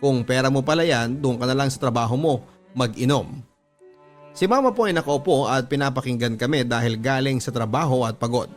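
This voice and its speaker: A man's voice: mid-range voice, brisk. A man with a Filipino accent reading a scripted, first-person confessional story in a polite, earnest manner, as a radio drama narrator. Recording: music bed under the voice. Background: background music.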